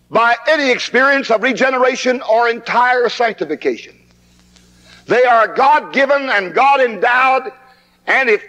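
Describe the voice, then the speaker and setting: A man's voice: very high voice, sharp tone. A man preaching a 1960s camp meeting sermon, fervent and emphatic, recorded on old tape in a hall.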